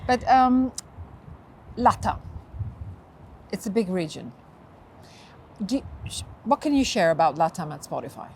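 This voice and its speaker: A woman's voice: mid-range voice, big pitch swings, a little slow, long pauses, a little mellow. A woman host in a casual outdoor interview on a windy day, often backing up her guest with "mm-hmm". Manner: frequent "mm-hmm" backchannels. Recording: faint outdoor noise.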